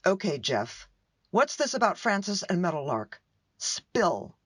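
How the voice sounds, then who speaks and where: A woman's voice: fairly low pitch, sing-song, unhurried, slightly rough, dry recording, studio quality, exaggerated voice. A woman acting in a radio play as an exasperated, blunt wife and mother with a curt, pointed manner. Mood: impatient.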